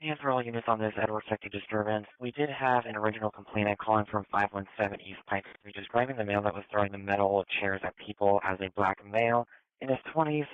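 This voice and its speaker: A man dispatching over police radio, reading out suspect descriptions in a steady, matter-of-fact way. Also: fairly low pitch, smooth, dry recording. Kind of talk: scanner radio traffic. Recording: tinny narrow-band police radio.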